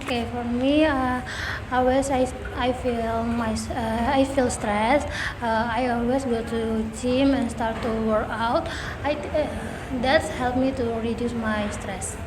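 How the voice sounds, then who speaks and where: Young woman, halting, noisy room. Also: a little high, fairly even intonation. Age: young adult. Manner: halting with fillers. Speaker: guest, nursing student.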